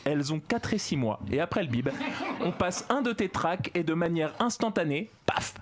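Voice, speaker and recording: A high, animated man delivers a comic column on a panel show, mock-solemn and giggly. Steady hiss sits under his voice. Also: normal speed. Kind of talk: comic chronicle on a talk show.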